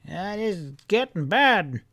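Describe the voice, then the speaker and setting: A man's voice: high-pitched, big pitch swings, takes it slowly, voice pushed up, full character voice. A man voicing a grumbling old country grandfather in an audiobook, calling out as if through a storm. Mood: worried. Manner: shouting, grumbling.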